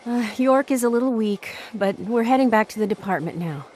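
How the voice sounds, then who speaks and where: An actress with an American accent playing a proud, dutiful small-town deputy sheriff in a video game, earnest and emphatic. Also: female voice, a little high, animated, fairly quick, darkish tone, a little hiss or hum, close to an everyday voice. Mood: businesslike. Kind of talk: dramatic game cutscene acting.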